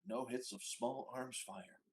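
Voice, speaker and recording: Male player in a casual tabletop game, average pitch, roomy echo on the mic. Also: steady tone, slow.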